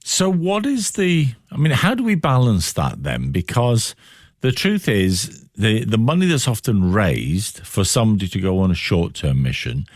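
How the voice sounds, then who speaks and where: A man's voice: fairly low pitch, huge pitch swings, normal speed, dry recording, clean studio sound. A man with a British accent hosting a conversational radio interview, reflective and given to posing questions. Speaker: radio show host.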